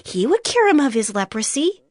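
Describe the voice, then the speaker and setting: Little servant girl, bible drama, earnest, high and very animated. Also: moderate pace, no echo, clean studio sound. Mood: hopeful. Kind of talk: dramatized audio Bible acting.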